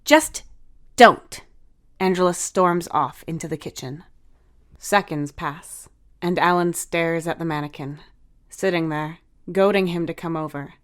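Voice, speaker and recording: A woman's voice: on the low side, fairly even intonation, measured pace. A woman narrating a scripted short story.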